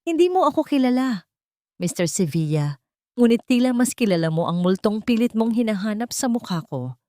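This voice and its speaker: A woman's voice: on the high side, big pitch swings, normal speed, mellow, dry recording, pitched above the performer's own voice. A woman voicing a cold, mocking, vengeful woman in an audiobook melodrama, taunting with elegant distance.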